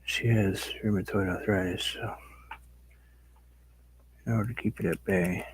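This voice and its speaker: A middle-aged man with a raspy voice, rambling casually to viewers as he paints on a live stream. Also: lowish voice, fairly even intonation, a little slow, a little hiss or hum. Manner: rambling, talks to viewers while working. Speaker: painting livestream host. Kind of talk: casual chat while painting.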